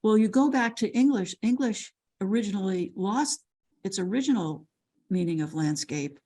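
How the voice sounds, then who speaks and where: A woman's voice: medium pitch, expressive, moderate pace, warm tone, husky. An older woman lecturer answering a question on a video call, thoughtful and full of restarts and repeated words. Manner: thoughtful, with frequent restarts and repetitions.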